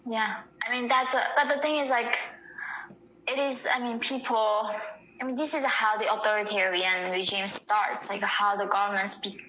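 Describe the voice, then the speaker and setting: A woman's voice: medium pitch, pushed and bright, echoey room, slight background noise, rough recording. A young woman from North Korea with a Korean accent who speaks English as a second language. She gives earnest answers in an interview and uses "like" often. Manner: earnest, frequent "like" fillers. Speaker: interview guest.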